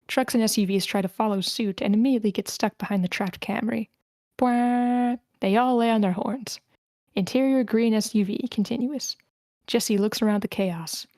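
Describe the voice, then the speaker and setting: A woman's voice: medium pitch, darkish tone, no echo. A woman reading the stage directions of a screenplay as scripted narration at a table read.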